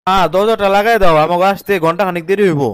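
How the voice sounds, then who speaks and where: A protective, principled young cartoon husband acted by a man, with a high, smooth voice. He talks fast and earnestly in colloquial Bangladeshi speech, explaining and lecturing. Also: steady tone, fairly bright tone, dry recording. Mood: caring, reassuring. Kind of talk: cartoon drama dialogue.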